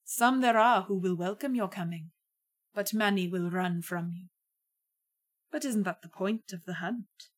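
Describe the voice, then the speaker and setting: A woman storyteller voicing a courteous male lord of the otherworld, measured, solemn and gracious. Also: medium pitch, lightly acted. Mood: solemn, knowing.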